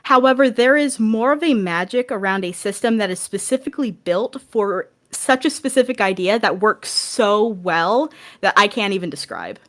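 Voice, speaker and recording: A woman's voice: medium pitch, expressive, moderate pace, hardly any pauses, fairly bright tone. A woman talking casually and enthusiastically as a guest on a podcast. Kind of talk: casual chat.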